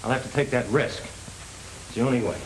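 An American actor playing a desperate, determined young ex-convict with noble scruples in a 1930s crime film. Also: male voice, mid-range voice, normal speed, crowd noise, close to an everyday voice. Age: young adult. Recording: noisy old 1930s film soundtrack.

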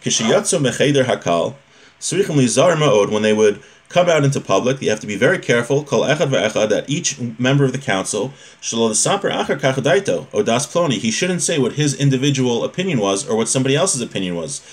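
A man's voice: medium pitch, big pitch swings, brisk. A man in his thirties or forties teaching informally, reading out Hebrew text and explaining it in colloquial English, repeating key points. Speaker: religious teacher. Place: small room at home, indoors.

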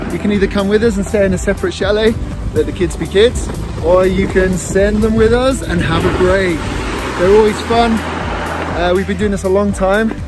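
A man talking casually to camera as a ski camp leader, explaining things in an informal, upbeat way. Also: high voice. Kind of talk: casual vlog talk to camera. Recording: music behind the voice. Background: music.

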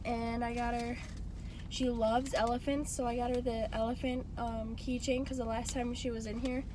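A woman's voice: on the high side, level tone, normal speed. A young woman vlogging from inside a parked car, sick and losing her voice, rambling casually with many fillers into a phone camera. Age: young adult. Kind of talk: casual vlog chat. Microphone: phone camera on the dashboard. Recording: phone in a car, clear background noise.

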